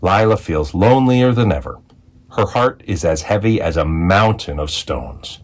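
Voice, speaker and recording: A man reading a children's picture book aloud as a storyteller, with expressive narration and deliberate dramatic phrasing. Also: sits low. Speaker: read-aloud storyteller, school executive.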